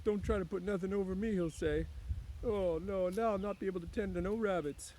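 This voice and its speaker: A man's voice: sits high, fairly quick, dark tone, slight background noise, voice pushed up, close to an everyday voice, low-quality recording. A man reading a storybook aloud as a big, childlike, simple-minded man, plaintive and easily upset, with folksy uneducated phrasing. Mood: sorrowful.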